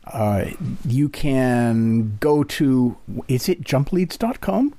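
A man's voice: mid-range voice, lively intonation, drawn-out words, dark tone, dry recording. A man hosting a radio show, interviewing and reading announcements.

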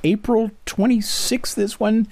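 A man hosting a casual interview, explaining things in a halting, searching way. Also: high voice, level tone, creaky, darkish tone. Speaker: interview host.

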